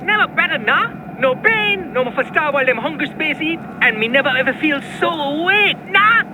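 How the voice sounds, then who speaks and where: An actor playing an excitable street kid in a video game, speaking slangy broken patois with a Caribbean accent. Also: male voice, extremely high pitch, brisk, background noise. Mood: excited, eager. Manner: slangy, exclamatory. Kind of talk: video game cutscene acting.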